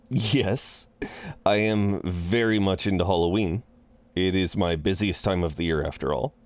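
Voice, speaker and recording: A courteous incubus innkeeper played by a man for an intimate audio roleplay. He speaks very slowly in a lowish voice, recorded close and dry. His delivery is chatty and polite, with a flirtatious streak and theatrical hesitations. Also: darkish tone, no echo. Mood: amused, puzzled. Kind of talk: intimate scripted roleplay acting.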